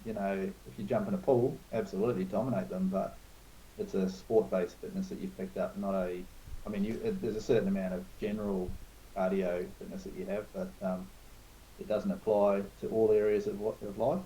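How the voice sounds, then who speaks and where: A man in his forties from New Zealand speaks in a low, warm, mellow voice. He tells stories at a relaxed pace, candid and self-deprecating, as a guest in a casual chat. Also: fairly quick, a little hiss or hum, rough recording. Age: 40s. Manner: laid-back storytelling, frank and self-mocking. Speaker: guest, club organizer.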